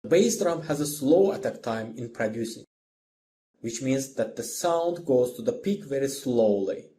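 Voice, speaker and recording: A man's voice: medium pitch, expressive, moderate pace, warm tone, slight background noise. A man narrating a scripted lesson as a music instructor, explaining step by step in simple phrases.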